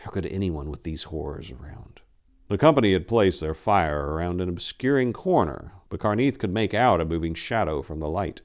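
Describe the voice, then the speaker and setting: A man giving a solemn, deliberate dramatic reading of a dark fantasy horror story, in a theatrical manner. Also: sits low, lively intonation. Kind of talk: dramatic audiobook narration.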